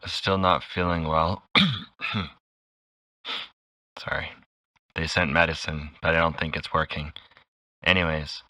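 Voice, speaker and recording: A man playing an ailing, weary radio operator in isolation, introducing a broadcast in a halting, downbeat way. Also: rumbling low, pushed and bright, no room sound, exaggerated voice. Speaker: radio show host. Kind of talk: subdued in-character broadcast introduction.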